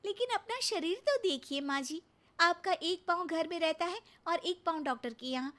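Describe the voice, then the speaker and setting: A woman's voice: very high-pitched, expressive, moderate pace, a bit bright. A woman voicing a patient young daughter-in-law in a cartoon, polite but exasperated. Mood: teasing. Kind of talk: cartoon voice acting.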